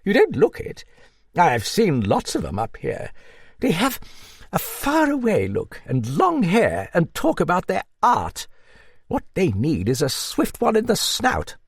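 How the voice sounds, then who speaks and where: Casting: man playing a gruff old dame, blustery and hearty, swooping lively pitch, gravelly edge. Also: a little slow, no echo, clean studio sound, full character voice. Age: older. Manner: blustery, hearty, muttering asides. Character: gruff hearty old woman, blunt and motherly. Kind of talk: audiobook character voice.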